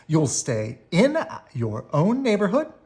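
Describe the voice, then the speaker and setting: A woman's voice: fairly low pitch, huge pitch swings, slow, dark tone, pitched above the performer's own voice, exaggerated voice. A woman voicing a reasonable, firm and encouraging mother bear in a children's storybook.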